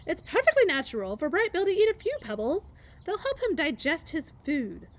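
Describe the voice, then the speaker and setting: A woman voicing an old female goose who is bossy but kind and speaks loudly and firmly. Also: very high-pitched, big pitch swings, normal speed, voice pushed up. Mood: amused. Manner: loud, commanding.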